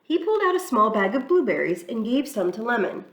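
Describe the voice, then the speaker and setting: A woman reading a children's picture book aloud in an expressive, storytelling way. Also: fairly high pitch, a little fast. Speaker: church reader. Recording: echoey room, mic far off.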